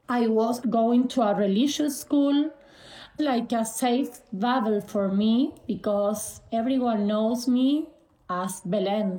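A woman in her forties from Argentina, answering interview questions in a halting way, with frequent pauses and restarts. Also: fairly high pitch, warm tone, reverberant, low-quality recording. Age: 40s. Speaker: guest.

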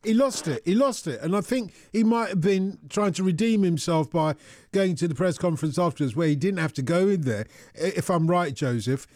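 A man hosting a radio phone-in, teasing his co-hosts and callers in jokey, quick back-and-forth banter. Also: high-pitched, darkish tone, dry recording. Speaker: radio show host.

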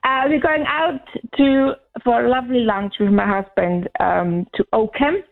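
A woman's voice: a little high, measured pace, gravelly. A woman of sixty from Austria, a native German speaker, calling into a radio show with short friendly answers. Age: 60s. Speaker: caller. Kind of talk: phone-in chat. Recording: tinny phone line.

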